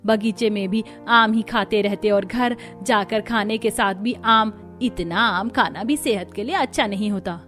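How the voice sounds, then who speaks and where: A woman voicing a worried grandmother in an animated story, speaking gently and cautioning the children. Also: medium pitch, measured pace, few pauses, close to an everyday voice. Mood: gentle warning. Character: worried old village grandmother. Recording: music under the voice.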